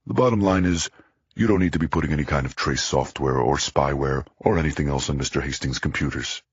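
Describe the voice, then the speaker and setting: A man's voice: rumbling low, a little fast, husky, no echo, muffled recording, full character voice. A man voicing a large, blunt lawyer with a Brooklyn accent. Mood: firm. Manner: blunt, businesslike. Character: large bald blue-collar lawyer, blunt.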